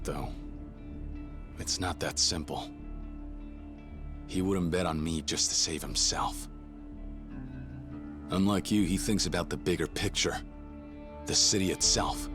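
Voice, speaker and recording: An actor playing a loyal, stubborn young fighter in a video game cutscene, speaking bluntly and earnestly. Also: male voice, very deep voice. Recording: game audio with music behind.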